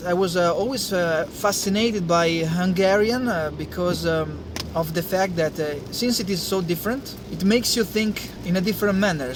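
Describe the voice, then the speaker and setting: A man's voice: high voice, background noise. A young Italian man, a native Italian speaker, talking casually in English as host of a science channel, hesitant, with many fillers and restarts. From Italy. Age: young adult. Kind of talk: casual talk to camera.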